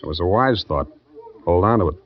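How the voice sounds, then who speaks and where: An American actor playing a wry, streetwise private detective in an old-time radio drama, speaking in laconic, slangy lines. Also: male voice, low-pitched, animated, brisk. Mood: guarded, sardonic. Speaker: lead actor and first-person narrator. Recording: dull vintage radio recording with music.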